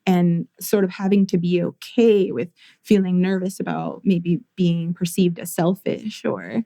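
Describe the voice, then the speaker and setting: Gravelly low woman, thoughtful guest. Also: fairly even intonation, mellow, no echo. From United States. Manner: thoughtful, measured, with frequent restarts. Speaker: guest, therapist and artist. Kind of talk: reflective interview conversation.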